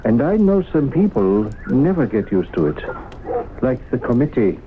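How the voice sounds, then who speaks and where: Evasive, courteous professor, mid-pitched, widely varied melody, formal theatrical delivery, 1970s radio drama. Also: male voice, big pitch swings, unhurried. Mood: polite, insistent. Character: smooth, evasive professor claiming to raise the dead. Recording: narrow-band, noisy old radio recording.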